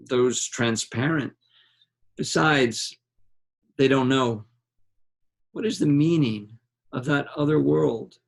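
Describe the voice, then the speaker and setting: Man, literary reading, slow delivery, gravelly vocal fry, measured phrasing, deliberate pauses. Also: mid-range voice. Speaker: reader of a literary novel. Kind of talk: dramatic literary reading aloud.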